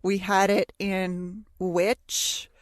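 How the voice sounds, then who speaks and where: A woman's voice: mid-range voice, level tone, very slow, no room sound, clean studio sound. A woman co-hosting a podcast, chatting casually about television in a wry, joking way. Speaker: podcast co-host. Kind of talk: casual TV discussion chat.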